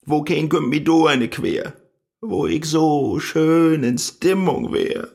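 An actor playing a frustrated, lovelorn scholar who declaims rhymes in a Low German accent in a radio play. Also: male voice, expressive, slow pace, gravelly, a little mellow, heavily acted. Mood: brooding, dreamy. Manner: theatrical, declaiming.

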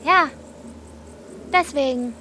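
Guarded, blunt village girl of thirteen, high voice, terse replies, noisy background. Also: slow, sharp tone, exaggerated voice. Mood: teasing, blunt. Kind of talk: radio drama acting.

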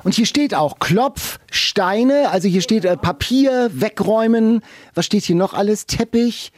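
A woman's voice: medium pitch, lively intonation, unhurried, darkish tone. A middle-aged woman hosting a podcast, describing and commenting in a conversational way. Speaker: podcast host and radio journalist.

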